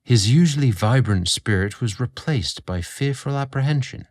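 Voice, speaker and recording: A male narrator tells a dramatic scripted story. His voice sits at an ordinary male pitch and moves widely up and down as he reads. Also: expressive, normal speed, a little mellow.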